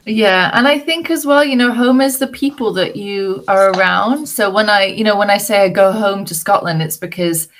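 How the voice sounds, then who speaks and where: A British woman appears as a guest on a casual podcast. Her voice sits a little low, and she thinks aloud, rambling and reflective, with plenty of fillers. She talks at an easy, conversational pace. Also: fairly bright tone. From British, raised partly abroad. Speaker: women's mentor and podcast guest. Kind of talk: casual interview chat. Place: farm home in a village.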